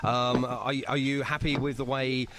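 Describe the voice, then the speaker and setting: A man's voice: mid-range voice, flat delivery, moderate pace, noisy background. A man hosting a casual podcast interview, with a jokey, teasing manner. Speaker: host interviewing a band.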